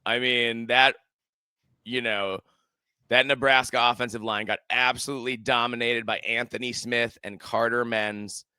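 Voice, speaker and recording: A man in his thirties co-hosting a sports podcast from a room at home on a headset mic, his voice strained from screaming at a game, excitedly rattling off stats. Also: mid-range voice, normal speed, clean tone, no room sound. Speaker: podcast co-host. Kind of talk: casual sports chat. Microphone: headset with studio mic.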